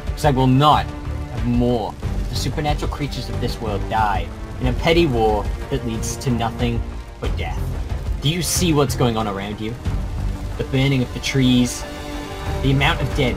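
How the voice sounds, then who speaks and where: A man's voice: medium pitch, normal speed, lightly acted. A man playing a stern peacemaker in a Minecraft fantasy roleplay, speaking in a declamatory, pleading way. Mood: stern, commanding. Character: stern, preachy peacemaker wielding a magic artifact. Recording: loud music behind the voice.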